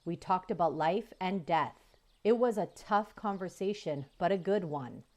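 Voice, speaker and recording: A woman voicing a calm, gentle female robot who is a mother. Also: lowish voice, measured pace, close to an everyday voice. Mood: tender.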